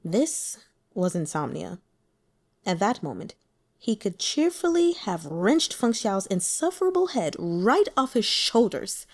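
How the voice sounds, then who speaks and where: A woman talking casually through her notes on a book, in a chatty, giggly and animated manner. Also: mid-range voice, measured pace. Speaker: audiobook reader and book commentator.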